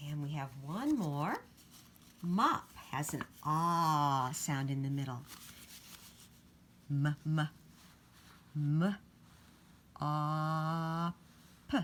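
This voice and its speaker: Female early-years teacher, low register, unhurried, deliberate enunciation for read-aloud and phonics, slight background noise. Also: lively intonation. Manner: sounds out letters and words deliberately. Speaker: teacher reading to young children.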